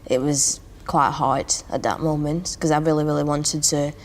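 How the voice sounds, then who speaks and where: Low, flat-toned woman with a Latvian accent. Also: moderate pace. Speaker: housing tenant in a peer interview. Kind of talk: casual interview chat. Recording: faint background noise.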